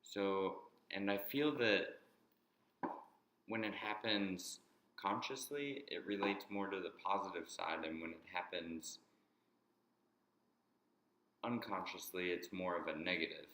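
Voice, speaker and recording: A man explaining a topic in a halting way, often restarting and repeating words, and speaking English as his first language. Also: sits low, a bit bright, roomy sound, a little hiss or hum. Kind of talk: explanatory monologue.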